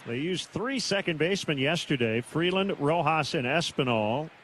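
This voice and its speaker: A man's voice: on the high side, animated, normal speed, a bit bright, low-quality recording. A man doing live baseball play-by-play as a radio announcer from a stadium broadcast booth. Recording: clear noise behind the voice. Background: outdoor ambient stadium noise.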